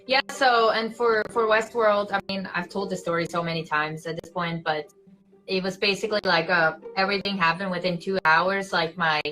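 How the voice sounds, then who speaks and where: A woman's voice: mid-range voice, moderate pace, faint music behind, rough recording. A young woman from Switzerland with a Swiss accent, an actress chatting casually as a guest on an interview show, full of "like" and "you know". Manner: animated, fillers like "like" and "you know".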